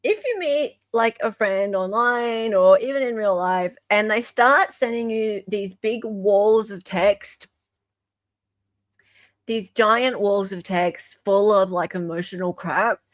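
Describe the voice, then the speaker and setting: An Australian woman hosting a casual live commentary, chatting with dramatic pauses, emphasis and rhetorical questions. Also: medium pitch, no room sound, dull audio. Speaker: livestream host commentator.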